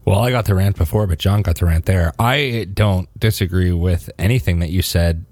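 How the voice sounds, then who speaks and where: A man on a casual sports podcast, easygoing and agreeable, but he gets going once he has an opinion. His voice sits on the low side. The sound is close and dry. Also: moderate pace, darkish tone, dry recording. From Canada. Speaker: podcast co-host.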